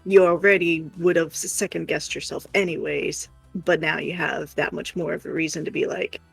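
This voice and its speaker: A woman's voice: sits low, fairly even intonation, normal speed, creaky, husky, rough recording. A woman co-hosting in casual chat, supportive but blunt and plain-spoken. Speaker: co-host reading chat comments. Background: music.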